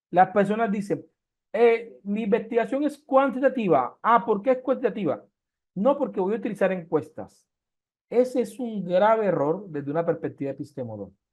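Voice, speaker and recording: A man's voice: high voice, a little mellow. A man gives an online lecture on research methods. He works through everyday comparisons and asks his students rhetorical questions as he goes. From Colombia.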